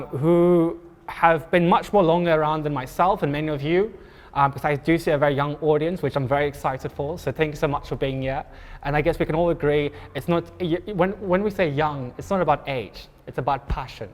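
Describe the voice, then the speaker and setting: A man from Pretoria with an Afrikaans accent, moderating a public panel talk in a halting way, with many ums and restarts. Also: a little high, in a large hall. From Pretoria, South Africa.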